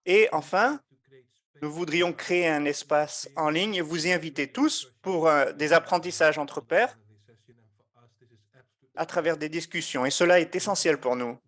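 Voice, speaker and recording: A man giving a live interpretation of an online meeting, measured and often hesitating as he keeps up with the speakers. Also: on the high side, fairly bright tone. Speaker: simultaneous interpreter.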